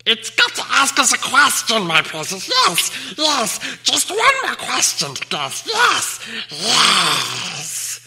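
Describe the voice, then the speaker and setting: A slimy cave creature, spiteful and wheedling. The voice is piercingly sharp and lurches wildly up into shrieks, then falls into slow, fussy, hissing muttering. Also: male voice, extremely high pitch, huge pitch swings, creaky, pitched above the performer's own voice, full character voice. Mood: wheedling, eager. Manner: fussy muttering with repeated words. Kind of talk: audiobook character acting.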